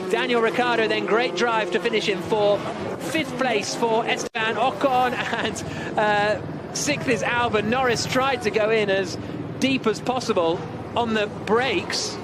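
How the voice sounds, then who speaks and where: A man's voice: sits high, noisy background. A man giving live motor racing commentary as the lead commentator, calling the action fluently and emphatically.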